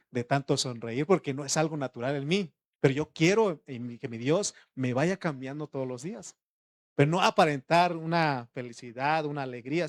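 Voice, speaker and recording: Conversational preacher, rhetorical questions. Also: male voice, medium pitch, no echo. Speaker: pastor. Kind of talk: informal sermon.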